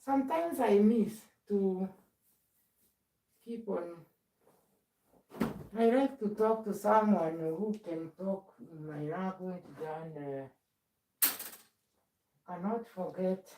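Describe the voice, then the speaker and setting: A woman's voice: medium pitch, slow, pauses often, mellow, far from the mic, a little hiss or hum. Middle-aged woman chatting from a roomy home. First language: Swahili. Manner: chatty, moves around doing chores while talking. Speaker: live streamer. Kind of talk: casual live chat. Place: home, indoors. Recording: roomy sound, speaker often away from the mic.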